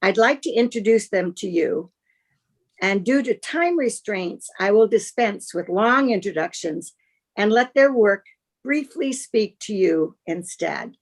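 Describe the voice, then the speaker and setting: An older woman moderating a design panel discussion over a video call, measured, gracious and formal in her delivery. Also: mid-range voice, lively intonation.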